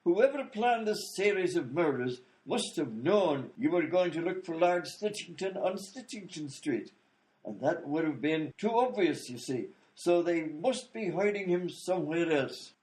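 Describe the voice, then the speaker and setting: A fussy, long-winded inventor doctor, played by a man, explains everything at length in a comedy radio play. His fairly high voice leaps around in a very animated way. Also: unhurried, poor audio. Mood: explaining. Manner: explains at length with fussy fillers like "you see".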